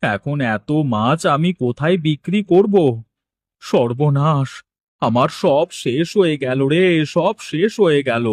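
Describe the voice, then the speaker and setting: A man's voice: on the high side, dry recording, studio quality. A man playing an anxious village fish wholesaler in a cartoon, exclamatory and given to repeating himself. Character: anxious village fish-wholesale boss, polite, easily panicked. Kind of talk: cartoon dialogue acting.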